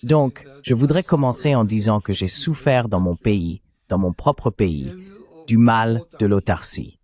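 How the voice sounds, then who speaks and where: A man's voice: lowish voice, unhurried, a little mellow. A man delivering a translated voice-over of an interview, explaining things as a commentator.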